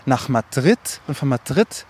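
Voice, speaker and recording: A man with a mid-pitched voice hosts a relaxed, conversational podcast. He talks informally, working out his thoughts as he goes and speaking straight to his listeners while out walking. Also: moderate pace, husky. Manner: informal, thinking aloud, addressing listeners directly. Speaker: podcast host. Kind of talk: casual conversational podcast chat. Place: recorded while walking along.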